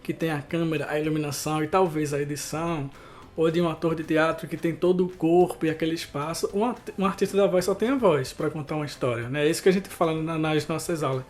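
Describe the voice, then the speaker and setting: A man's voice: fairly high pitch, steady tone, quick pace, a little mellow. A man from Recife with a Pernambuco Brazilian Portuguese accent, a voice artist explaining his craft in an interview, conversational and often adding tag questions.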